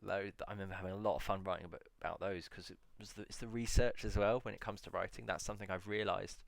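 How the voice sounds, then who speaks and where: A man with a low, gravelly voice reflects aloud in a relaxed solo video. He reads out titles slowly and lingers on each one with hums and pauses. The recording is close and dry, with no room sound. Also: dry recording. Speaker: video blogger.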